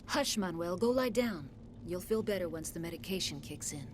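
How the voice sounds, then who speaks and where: A woman playing an earnest, composed scientist in a video game, speaking in a measured, articulate way. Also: fairly low pitch, expressive, a little hiss or hum, lightly acted. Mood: soothing. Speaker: scientist in charge of an excavation. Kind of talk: dramatic video game dialogue. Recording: loud game music under dialogue. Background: music.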